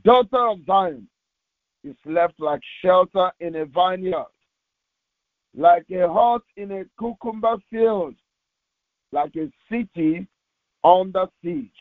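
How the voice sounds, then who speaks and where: A thin, phone-like recording with very little room sound carries a man's high-set voice. He is a pastor delivering a devotional and reading scripture solemnly. His phrases are short and deliberate, with a halting delivery. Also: no room sound. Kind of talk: devotional sermon with slow scripture reading aloud. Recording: tinny, phone-like narrowband audio.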